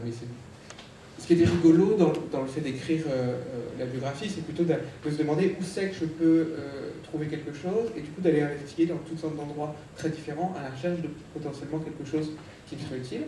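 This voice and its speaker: Panel speaker, man, slightly high voice, halting delivery full of fillers; far from the mic in a very echoey hall. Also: dark tone, a little hiss or hum. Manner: hesitant, many fillers and restarts. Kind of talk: conference panel talk. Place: large lecture hall.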